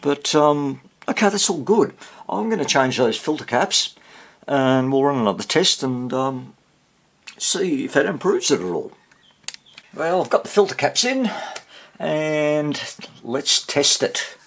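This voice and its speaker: A man giving a casual hands-on repair demonstration, muttering to himself and pausing between short phrases as he thinks aloud. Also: fairly high pitch, very animated, vocal fry, a bit bright. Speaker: hobbyist radio repairer presenting his workshop video.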